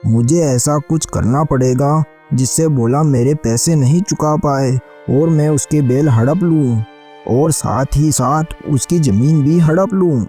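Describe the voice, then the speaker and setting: An actor as a mellow-voiced scheming greedy moneylender. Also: mid-range voice, a little slow, faint music behind, close to an everyday voice. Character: scheming greedy male village moneylender, callous. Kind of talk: Hindi animated moral story dialogue.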